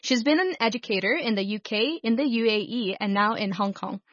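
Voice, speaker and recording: A woman's voice: on the high side, fairly quick, no echo, lo-fi sound. A woman from Pakistan hosting a radio talk show, introducing guests in an upbeat, welcoming way. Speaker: radio host.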